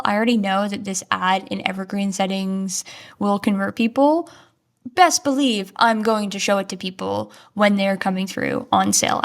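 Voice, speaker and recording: Upbeat, chatty woman podcast host. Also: medium pitch. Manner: casual, with frequent "like" fillers. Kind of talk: solo marketing podcast talk.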